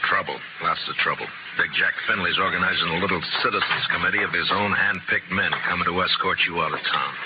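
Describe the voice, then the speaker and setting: Actor as a low-voiced, terse western marshal. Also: male voice, quick pace, hardly any pauses, natural voice. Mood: calm, grim. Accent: American. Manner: terse, deadpan, authoritative. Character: tough, dry, unyielding male frontier marshal. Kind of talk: old-time radio western acting. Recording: muffled, very noisy old radio recording.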